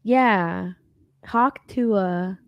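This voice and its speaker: A woman's voice: mid-range voice, very slow, gravelly, dry recording, muffled recording. A woman from Texas co-hosting a casual stream chat, rambling, laughing often and trailing off mid-sentence. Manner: rambling, giggly, trails off and restarts. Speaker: co-host.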